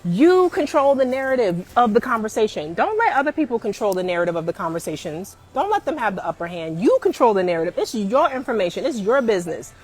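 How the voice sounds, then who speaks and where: A woman in her forties giving career advice on a live stream, emphatic and animated, often calling out to viewers and laughing. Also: on the high side, fast, hardly any pauses, slightly rough. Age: 40s.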